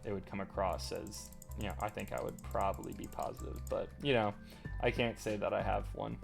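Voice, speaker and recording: Low guy with a gravelly voice, slight stutter, rambly gamer chat, faint music behind. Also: steady tone. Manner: rambling, halting, many fillers and restarts. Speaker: gaming channel host. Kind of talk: casual commentary while playing. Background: faint lo-fi music and keyboard clicks.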